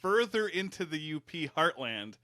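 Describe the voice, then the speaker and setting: A man's voice: fairly high pitch, very animated, moderate pace, bright, forceful tone, dry recording. A man from Michigan's Upper Peninsula, a fire service educator, talking in a folksy, rambling, self-deprecating way. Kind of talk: casual interview.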